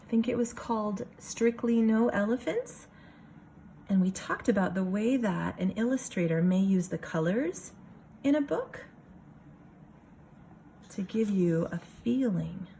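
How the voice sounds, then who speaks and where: A woman reading a picture book aloud like a kindergarten teacher, expressive, pausing for casual asides to the children. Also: medium pitch, vocal fry, slight background noise.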